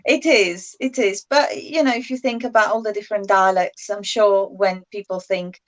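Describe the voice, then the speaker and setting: A woman's voice: mid-range voice, steady tone, moderate pace. A woman, explaining English usage casually as a guest in a language chat.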